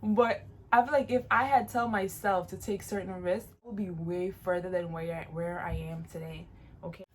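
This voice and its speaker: A woman's voice: lowish voice, steady tone, moderate pace, a little hiss or hum. Chatty Jamaican-born woman in her late twenties. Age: late 20s. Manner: chatty, encouraging, repeats phrases for emphasis. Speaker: vlogger. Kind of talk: casual advice vlog.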